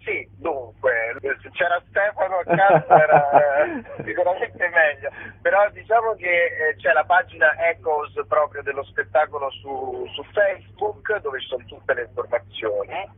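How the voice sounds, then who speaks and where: A man's voice: on the high side, animated, unhurried, husky. A middle-aged man, chatting casually as a radio guest and searching for words. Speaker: actor interviewed as guest. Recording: tinny phone line with echo.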